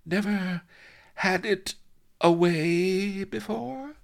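A man voicing an imperious, seductive female pirate in an audiobook, with a breathy, husky murmur and mock-archaic, coquettish speech. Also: lowish voice, very animated, slow pace, fairly bright tone, voice pushed up, heavily acted. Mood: incredulous. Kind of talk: audiobook character voice.

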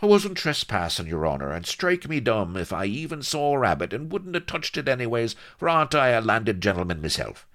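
A man's voice: medium pitch, big pitch swings, a little fast, hardly any pauses, a bit bright, pitched above the performer's own voice. A man voicing a roguish runaway colonel in an audiobook, panting and pleading in a panicky, wheedling way. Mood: pleading, panicked. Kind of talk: audiobook character acting.

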